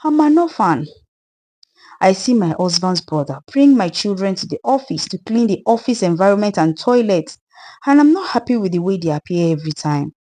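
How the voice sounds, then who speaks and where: A woman's voice: mid-range voice, expressive, normal speed, mellow, close to an everyday voice. A woman voicing the sorrowful, wronged ghost of a murdered widow in a dramatised folktale, plaintive and earnest, with a Nigerian accent.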